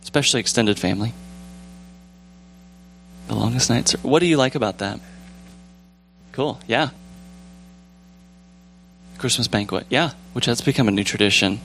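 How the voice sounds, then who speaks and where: A young man with a calm, relaxed voice leading a casual church discussion, rambling gently with fillers and restarts. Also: mid-range voice, normal speed, gravelly, pauses often, a little hiss or hum, rough recording. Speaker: church group leader. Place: church sanctuary with pews.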